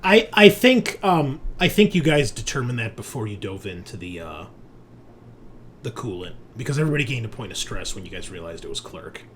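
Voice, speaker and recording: Low-voiced man as game master, noisy background. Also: brisk. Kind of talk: narrating a tabletop roleplaying game. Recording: noisy room with steady background ambience.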